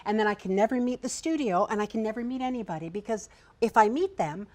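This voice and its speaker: A woman with a young-sounding voice at a middling pitch tells stories on a discussion panel. She is energetic and irreverent and laughs often. She sounds like a writer and producer trading stories in casual talk. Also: fast.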